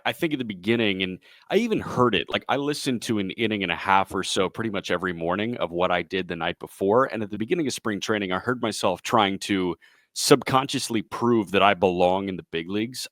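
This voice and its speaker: A man's voice: on the low side, brisk, a bit bright, no echo, studio quality. A man in his late twenties with an American accent, a sports broadcaster answering casually as an interview guest.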